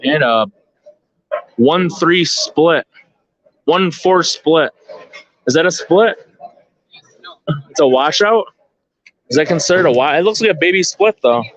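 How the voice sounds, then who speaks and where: A man's voice: fairly high pitch, animated, slow pace, pauses often, no room sound. A man giving casual, off-the-cuff live sports commentary, informally thinking aloud as he follows the play. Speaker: bowling tournament commentator and organizer. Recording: dull, lo-fi live stream audio.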